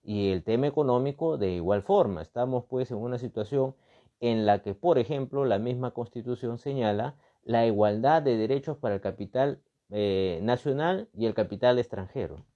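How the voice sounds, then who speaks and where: Dull lo-fi recording, Peruvian man, mellow voice, political commentator, emphatic repetition, rhetorical questions. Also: medium pitch, moderate pace. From Peru. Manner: emphatic, repeats phrases, frequent rhetorical questions and tags. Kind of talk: extemporaneous analytical talk.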